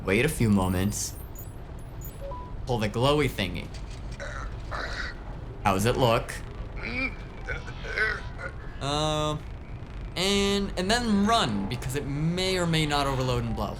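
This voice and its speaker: A man's voice: mid-range voice, very animated, slow, long pauses, music in the background. A man playing a grumbling, sarcastic tech whiz in a cyberpunk audio drama, talking in a casual, rambling way. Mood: distracted, flippant. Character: grumbling, foul-mouthed, sarcastic male tech whiz driver.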